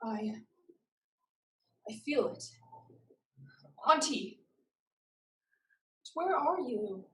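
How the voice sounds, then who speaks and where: High-voiced, slow-speaking determined young woman played by an actress. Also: expressive, fairly bright tone, heavily acted, low-quality recording. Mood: earnest, wistful. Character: proud, determined young woman pirate-in-training, devoted to family. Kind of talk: theatrical staged reading.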